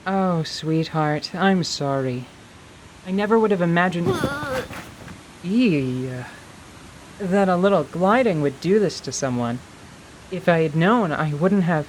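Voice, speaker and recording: A man voicing a gentle, teasing winged woman adventurer with formal wording in a radio play. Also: a little high, lively intonation, slow pace, pauses often, background noise. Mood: apologetic. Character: kindly, playful winged woman adventurer, eloquent and caring. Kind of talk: dramatized tabletop radio play.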